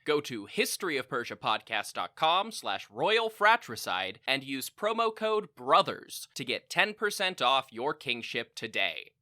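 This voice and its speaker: A man voicing a brash male infomercial pitchman in a parody advertisement, with an exaggerated, hammy sales delivery. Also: big pitch swings, a little slow, hardly any pauses, pushed and bright, dry recording, clean studio sound, heavily acted. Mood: hyped.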